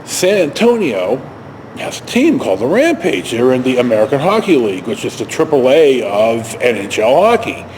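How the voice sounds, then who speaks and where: An older, retired man telling stories casually to camera in a halting, rambling, self-correcting way. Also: mid-range voice, sing-song, darkish tone, slight background noise, poor audio. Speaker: video storyteller.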